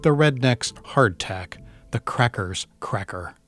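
A man reading his own poem aloud in a measured, deliberate way. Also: mid-range voice, animated, a bit bright. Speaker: poet. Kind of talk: poetry reading. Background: music.

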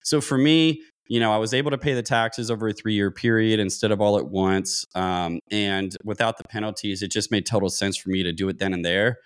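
Guy in his 30s, lowish voice, podcast guest talking it through, restarts his sentences a lot. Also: fairly even intonation, a little fast, few pauses, smooth. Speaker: podcast guest, real estate investor. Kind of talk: conversational interview explanation.

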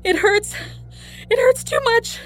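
A woman's voice: very high voice, slow pace, a bit bright, a little hiss or hum, pitched above the performer's own voice, full character voice. A woman narrator voicing an anguished young woman in labor, breathless, pained and pleading, in an audiobook. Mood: agonized. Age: young adult. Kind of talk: dramatic audiobook character acting.

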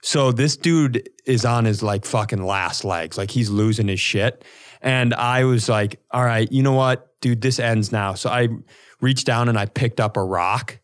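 A man's voice: medium pitch, measured pace. A man co-hosting a comedy podcast, telling casual stories in a rambling, profane way with comic exaggeration.

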